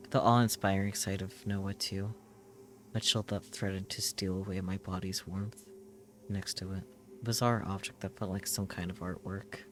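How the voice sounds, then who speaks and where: Low-voiced man, solemn dramatic narration. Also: level tone, fairly bright tone, slight background noise. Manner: solemn, measured, short halting phrases. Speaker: streamer reading a visual novel aloud. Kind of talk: dramatic scripted first-person narration.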